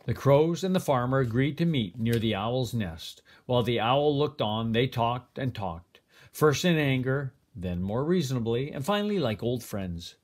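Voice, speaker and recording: An older man reads a children's picture book aloud as a grandfather would, with expressive, deliberate phrasing. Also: medium pitch. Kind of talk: storybook read-aloud.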